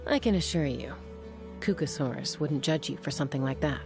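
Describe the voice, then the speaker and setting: An actress playing a calm, earnest young leader of a flying squad in a video game, speaking in a reassuring way. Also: female voice, sits low, fairly quick, vocal fry, music in the background.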